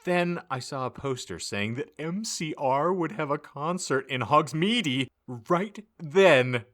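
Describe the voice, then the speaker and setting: A man's voice: on the high side, fairly bright tone. A man reading aloud as a podcast host, narrating a comic audiobook in a mock-serious, theatrical way.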